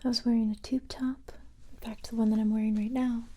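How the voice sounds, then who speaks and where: A hushed, breathy female recruiter for an elite force, acted by a woman, whispers in a mellow, fairly high voice. She is calm, sympathetic and reassuring, interviewing an applicant in an intimate ASMR roleplay. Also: lightly acted. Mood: calm, reflective. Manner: gentle, slow, reassuring. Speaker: ASMR creator playing a roleplay character.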